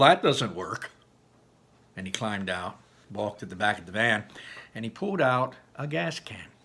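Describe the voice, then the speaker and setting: A man reading a children's story aloud in an expressive, animated way with dramatic pauses. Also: fairly low pitch. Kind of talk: animated children's book narration.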